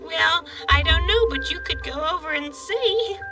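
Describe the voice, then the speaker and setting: High, gentle little boy puppet. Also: lively intonation, normal speed, recorded from a distance, over loud music. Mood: gentle, friendly. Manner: simple, childlike questions and short phrases. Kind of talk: children's television puppet acting.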